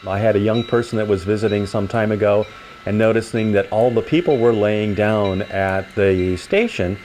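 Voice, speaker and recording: Low-voiced older man giving a hobby tour. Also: moderate pace, a little mellow, faint music behind. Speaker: model railroader giving a layout tour. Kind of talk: informal explanatory tour narration.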